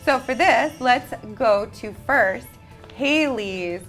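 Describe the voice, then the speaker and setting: A woman's voice: on the high side, expressive, takes it slowly, fairly bright tone, faint music behind. A woman hosting a contest recap show in an informal, chatty way, often restarting her sentences. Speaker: host of a nail-art contest recap show.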